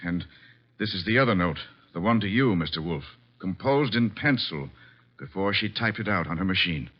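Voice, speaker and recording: A stunned husband in an old radio mystery. His voice is on the low side, shaken and disbelieving. The vintage recording is dull. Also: lively intonation, normal speed. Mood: shocked, subdued. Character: shaken, disbelieving husband caught in murder case. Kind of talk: 1950s radio drama acting.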